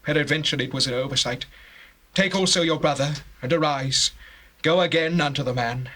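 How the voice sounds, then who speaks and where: A man's voice: a little high, moderate pace, sharp tone, rough recording. An actor playing a grieving, anxious old father in a dramatized scripture, declaiming solemnly in archaic English. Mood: resigned. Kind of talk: dramatized scripture, stage-like acting.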